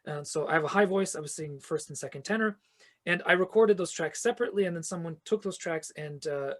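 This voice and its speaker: Husky, earnest man explaining at length. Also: fairly high pitch, fast talker. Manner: earnest, expansive, builds long explanations with restarts. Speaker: guest, fantasy author and choir conductor. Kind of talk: reflective podcast interview answers.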